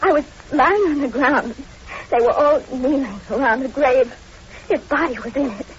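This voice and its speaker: A woman's voice: high-pitched, normal speed, lightly acted. A woman playing a young heroine, horrified and distressed, in old radio horror drama acting. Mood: shaken. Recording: dull lo-fi old radio recording, hiss.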